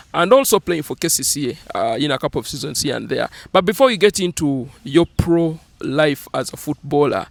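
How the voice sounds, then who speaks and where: A man's voice: a little high, gravelly. Upbeat Ugandan man hosting a football talk. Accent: Ugandan English. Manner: upbeat, many fillers like "uh" and "you know". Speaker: interview host. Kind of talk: casual interview, mixing English and Luganda.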